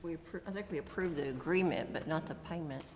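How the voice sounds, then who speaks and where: Female council member, low even pitch, formal, echo and obvious noise. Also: fast talker. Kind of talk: formal motion read aloud. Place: indoor council chamber. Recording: echoey chamber with clear background noise.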